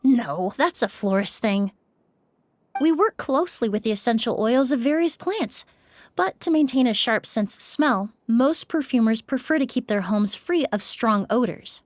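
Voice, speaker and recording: An actress playing a composed young female perfumer in a video game, speaking calmly, precisely and politely. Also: on the high side, normal speed, no echo, natural voice. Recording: soft background music.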